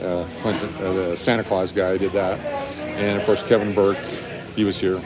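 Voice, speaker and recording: Deep-voiced man live-streaming in a busy restaurant. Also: little pitch movement, moderate pace. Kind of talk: casual live-stream chat. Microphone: phone held at arm's length. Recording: restaurant chatter and rumble behind voice.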